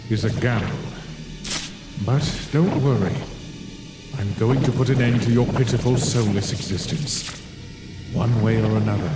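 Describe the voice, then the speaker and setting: A man playing a cold, weary, guilt-ridden scientist monster in a dramatic comic dub, speaking in a deliberate, theatrical way. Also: fairly low pitch, natural voice. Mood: cold, resolute. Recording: echoey reverberant voice with background music.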